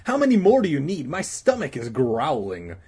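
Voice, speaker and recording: A man's voice: fairly high pitch, big pitch swings, moderate pace, husky, voice pushed up. A man voicing an impatient schoolboy from a storybook, complaining and insistent. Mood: grumbling. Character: impatient, grumbling schoolboy, the worm collector's hungry friend.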